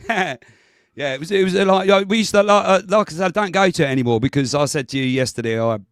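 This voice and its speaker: Guy on a video call, talks in loops and laughs a lot, bit rough from a voice coming back, dead dry sound. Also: a little high, quick pace, no room sound. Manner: rambling, laughs often, restarts sentences. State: voice recovering, clears throat often. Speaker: guest with local knowledge. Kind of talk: casual chat on a video call.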